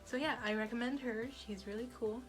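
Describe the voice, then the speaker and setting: A woman's voice: on the high side, steady tone, fairly quick, faint music behind. A young woman giving casual language-study advice in a rambling, chatty way, full of fillers. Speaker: language learning vlogger. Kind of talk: casual talking-head advice.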